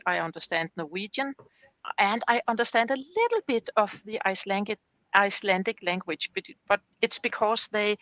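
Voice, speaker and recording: Woman, Danish accent, Danish native speaker, halting English, bright tone, dry sound. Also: mid-range voice, no room sound. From Denmark. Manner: careful, halting English with many uhs. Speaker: guest. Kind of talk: casual video call interview. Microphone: video call.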